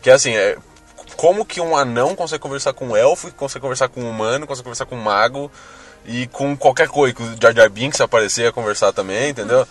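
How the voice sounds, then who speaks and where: A man's voice: quick pace, faint music behind. A man with a Brazilian Portuguese accent, co-hosting a casual film-review podcast and talking animatedly with plenty of swearing. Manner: lively, animated, swears freely, jumps into high-pitched outbursts. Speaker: podcast co-host.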